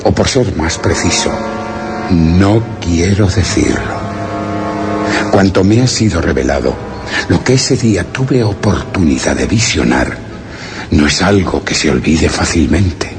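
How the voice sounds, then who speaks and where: An actor playing a solemn, anxious chronicler in a radio horror drama, grave and formally literary, his phrase endings turning breathy. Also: sits low, expressive, unhurried. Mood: solemn, confiding. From Spain. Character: solemn, anxious male chronicler of a mysterious encounter. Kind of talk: radio horror drama, first-person narration of a written account. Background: music.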